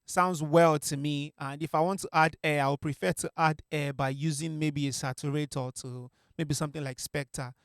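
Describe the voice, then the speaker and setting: A man's voice: fairly high pitch, fairly even intonation, normal speed, few pauses, clean tone, no room sound. A young man, a music producer, talking through a vocal mix in a casual tutorial from his small home studio, with many fillers and restarts. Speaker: music producer teaching mixing. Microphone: studio mic on a stand.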